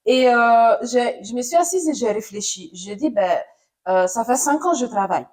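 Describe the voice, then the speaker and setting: A woman's voice: fairly high pitch, lively intonation, moderate pace, a little mellow. A woman born in Italy, an Italian speaker, telling her career story as a radio journalist in an interview, thoughtful with many fillers.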